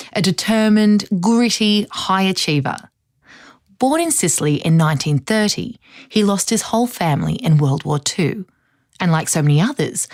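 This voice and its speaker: An actress playing a female true-crime podcast host, narrating in a measured, insinuating, dramatic way from a script. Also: fairly low pitch, darkish tone, no room sound, studio quality, natural voice.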